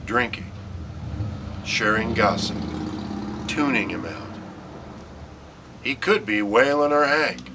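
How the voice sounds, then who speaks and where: Male spoken-word poet, low-pitched and hard-edged, measured phrases with pointed stresses, slightly noisy recording. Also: sharp tone. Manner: deliberate, short phrases with pauses and emphatic stresses. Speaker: poet reading own work. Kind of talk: dramatic spoken-word poetry reading.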